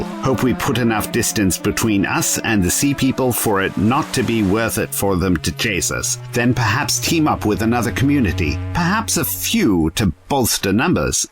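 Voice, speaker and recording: Male actor as level-headed adventurer, lowish voice, wry, wordy, musing, measured delivery, audio drama. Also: lightly acted. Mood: reasoning.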